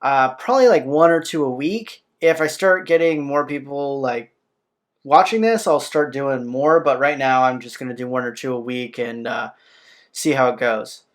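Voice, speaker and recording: A man's voice: mid-range voice, moderate pace. A man hosting a game playthrough, informally commenting and reading the story text aloud in a plain way. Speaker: playthrough host. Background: game soundtrack music.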